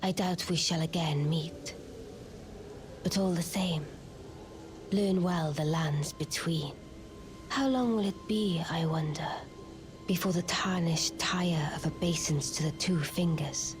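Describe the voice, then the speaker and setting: A mysterious, aloof young witch from a fantasy game. The voice is low, soft and breathy. Lines come slowly in cool, courtly, archaic phrasing, with music clearly behind. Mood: aloof, musing. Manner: measured, archaic courtly speech. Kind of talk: dramatic fantasy game acting.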